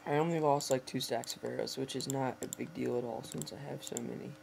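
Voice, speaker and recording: A hoarse teenage boy chatting casually to viewers over gameplay, rambling and correcting himself as he goes. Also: mid-range voice, steady tone, quick pace, darkish tone. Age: teens. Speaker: gameplay commentator. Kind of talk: casual let's-play commentary. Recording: noisy home recording with typing.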